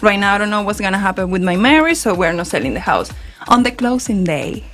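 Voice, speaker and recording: A woman's voice: medium pitch, lively intonation, fast, a bit bright. Chatty woman co-host, music underneath. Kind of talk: casual chat, anecdotal storytelling.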